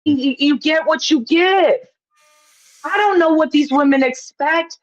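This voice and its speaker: A woman's voice: high-pitched, measured pace, muffled recording. A woman from Poland, chatting casually as an opinionated call-in guest, emphatic and repeating herself to make a point.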